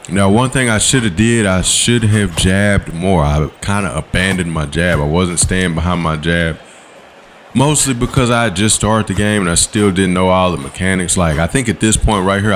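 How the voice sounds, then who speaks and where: A man, giving laid-back voice-over commentary on his gameplay in a slangy, coaching way. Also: slight background noise. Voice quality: low voice. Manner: laid-back, coaching, slangy with quick "boom" call-outs. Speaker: gaming channel host. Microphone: voice-over recorded separately from the footage.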